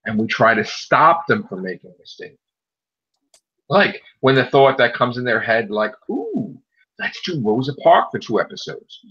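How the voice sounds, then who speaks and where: A man's voice: mid-range voice, big pitch swings, normal speed, bright, forceful tone, slightly rough. A man co-hosting a podcast, chatting casually and pushing his opinions hard, often repeating a point to stress it. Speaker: podcast co-host. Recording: muffled lo-fi audio.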